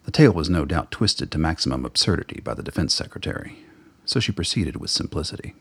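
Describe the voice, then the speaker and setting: A man narrates a radio drama in a measured storytelling manner. His voice is extremely deep, gravelly and husky. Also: animated, a bit bright.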